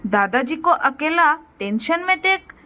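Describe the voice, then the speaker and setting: A woman's voice: sits high, very animated, a little slow, bright, forceful tone. A woman storyteller giving scripted narration for a moral cartoon story.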